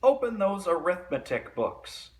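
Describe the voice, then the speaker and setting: Casting: male reader as strict witchy female teacher in a storybook, high, loud hissing threats and snapped orders. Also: very animated, unhurried. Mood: stern, threatening.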